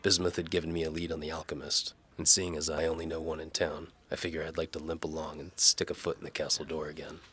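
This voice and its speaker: A man's voice: extremely low pitch, level tone, fast talker, few pauses, a bit bright, husky, full character voice. A man playing a sardonic, world-weary bow for hire, narrating his own story in noir audio drama style.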